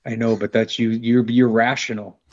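A man's voice: medium pitch, flat delivery, moderate pace, a little mellow. A middle-aged man talking in an earnest, rambling way, repeating words as he gets worked up, in a video call discussion. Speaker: panel guest. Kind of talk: casual discussion. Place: indoor studio room on a video call. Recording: dull lo-fi video call audio.

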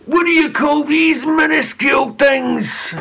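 A man voicing a bad-tempered, brutish Viking bully who barks and booms, in a children's storybook reading. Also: steady tone, slow, creaky, slight background noise, full character voice. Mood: angry. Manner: barking, shouting. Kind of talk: children's storybook read-aloud.

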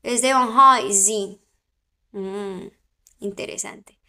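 A woman's voice: mid-range voice, drawn-out words, pushed and bright. A young Colombian woman with a mixed coastal and Santander accent, chatting playfully with viewers on a phone livestream. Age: young adult.

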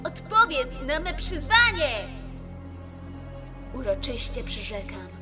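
A woman's voice: high voice, sing-song, slow, loud music behind the voice, heavily acted. A woman playing a solemn, fierce young female mage declaiming an incantation in an anime fan dub. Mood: fierce invocation.

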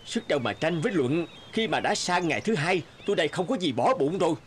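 Expressive, penniless cartoon guitarist. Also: male voice, fairly high pitch, quick pace, a little hiss or hum. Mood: weary. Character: poor, hungry, patient guitarist turned fisherman. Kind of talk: cartoon fairy-tale dubbing.

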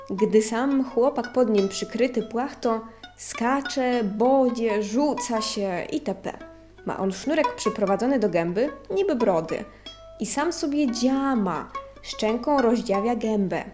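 A woman reads scripted narration in a high voice. She takes her time, measured throughout, with plucked string music clearly behind her. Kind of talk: scripted narration of an old ethnographic text.

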